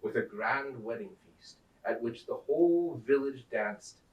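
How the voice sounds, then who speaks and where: Echoey, expressive man reading a storybook. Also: mid-range voice, a little slow, muffled recording. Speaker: Santa storyteller reading aloud. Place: indoors at a museum. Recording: roomy echo, distant microphone.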